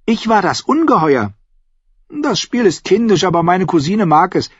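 A man's voice: sits high, sing-song, normal speed, slightly rough, no room sound, highs cut off, voice pushed up, heavily acted. A man voicing a self-assured, curious young noble boy in an audiobook story, speaking formally and directly.

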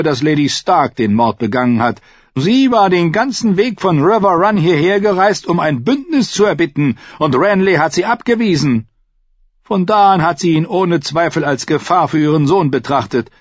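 A man voicing a smug, scheming lord in a raised, breezy, loud voice. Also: high voice, no room sound, lo-fi sound, pitched above the performer's own voice. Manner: airy, smug.

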